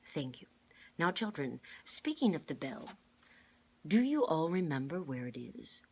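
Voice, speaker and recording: A woman voicing a kindly, courteous gentleman in charge in a storybook, calm and deliberate. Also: low-pitched, a little hiss or hum, exaggerated voice. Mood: calm, serious.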